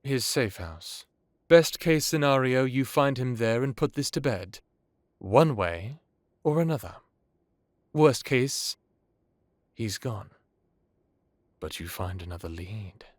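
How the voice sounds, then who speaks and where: A man playing an ancient, courteous, world-weary vampire lord in an audio roleplay, speaking in a measured, knowing, genteel way. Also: medium pitch, animated, no room sound, studio quality. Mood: grave, knowing. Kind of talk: dramatic audio roleplay acting.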